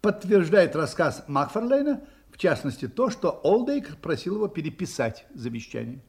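An actor playing a confident, incisive private detective in an audio drama, reasoning aloud with assurance. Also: male voice, fairly high pitch, sing-song, moderate pace, gravelly, darkish tone, husky, exaggerated voice. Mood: assured, reasoning. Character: confident, sharp, probing male private detective. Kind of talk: Russian audio drama acting.

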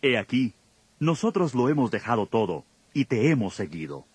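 An actor playing an earnest, devoted male disciple with a husky voice in a dramatized audio Bible. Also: medium pitch, sing-song, moderate pace, no echo. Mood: earnest protest.

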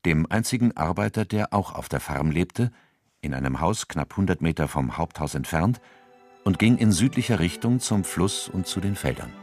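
A man reading scripted literary narration as a narrator, measured and matter-of-fact. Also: low-pitched, lively intonation, darkish tone, no echo. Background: music.

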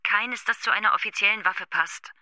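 Sharp, curt pathologist. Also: female voice, medium pitch, brisk, no echo. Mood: curt, guarded. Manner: clipped, guarded. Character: curt, evasive East German woman pathologist. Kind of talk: radio drama acting.

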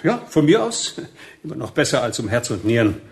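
Hapless old grumbler, swooping pitch. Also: male voice, sing-song, a little fast, faint music behind. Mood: grudging, hesitant. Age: 60s. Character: grumbling, hapless 64-year-old man pleading for his life. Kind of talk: radio play acting.

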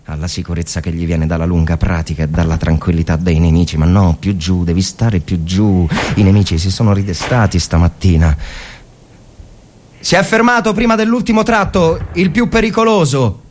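A man's voice: low voice, normal speed, a little mellow, pitched below the performer's own voice. Man acting a tense, commanding young army lieutenant. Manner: barks orders, then broods.

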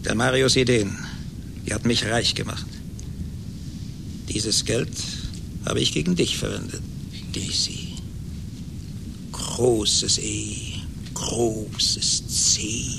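An actor in a German radio play playing a gloating, vengeful rich man, condescending and deliberate. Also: gravelly. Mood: cruel, precise. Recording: noisy 1980s radio recording with rumble.

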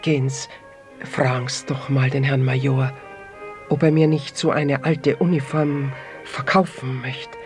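A man's voice: mid-range voice, level tone, unhurried, natural voice. An actor playing a sly, nostalgic older man in a radio play, coaxing. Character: insinuating older man, nostalgic for prewar uniforms. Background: music.

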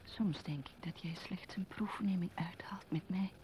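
A woman's voice: lowish voice, measured pace, mellow, natural voice. An actress as a half-whispering, withdrawn, melancholy woman. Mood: wary, pensive. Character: withdrawn, wary, melancholy woman musing on existence. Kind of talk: intimate radio play monologue.

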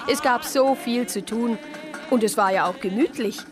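Eine Schauspielerin spielt eine Diakonisse, die als Ich-Erzählerin in einem Hörspiel von ihren Erlebnissen berichtet. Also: female voice, a little high, normal speed, music in the background, natural voice. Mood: calm recounting. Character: anxious deaconess recounting her adventures abroad. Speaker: first-person narrator. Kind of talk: radio play narration and dialogue.

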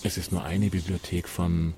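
Dying man for radio drama actor: reflective, matter-of-fact, low register, clearly scored with music. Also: level tone, normal speed, natural voice. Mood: philosophical. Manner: sombre, matter-of-fact, philosophical. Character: reflective dying man facing death calmly.

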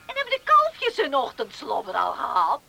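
An actress playing a tart, proud middle-aged farm widow with a retorting manner in a Dutch radio play. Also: female voice, high-pitched, huge pitch swings, moderate pace, vocal fry, heavily acted. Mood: barbed. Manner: sharp, retorting.

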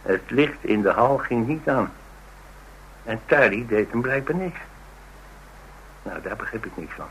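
An actor playing an inquisitive, talkative witness who recounts suspicious events wryly in a radio drama. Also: male voice, medium pitch, animated, a little slow, pauses often, a bit bright, slight background noise, poor audio. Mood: eager, wry. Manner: wry, colloquial storytelling.

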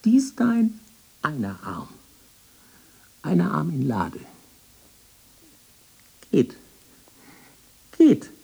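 Frantic husky mutterer in halting bursts. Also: female voice, deep voice, huge pitch swings, warm tone, full character voice. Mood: intent, muttering. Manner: halting, repetitive, clipped telegraphic phrases, often whispering. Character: frantic, obsessive archive dweller muttering broken commands to a comrade. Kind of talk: avant-garde radio drama acting.

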